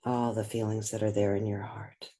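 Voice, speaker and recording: A woman leading a guided meditation, speaking gently and without hurry, leaving long pauses between phrases. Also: extremely low pitch, flat delivery. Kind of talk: guided meditation, slow and spoken softly.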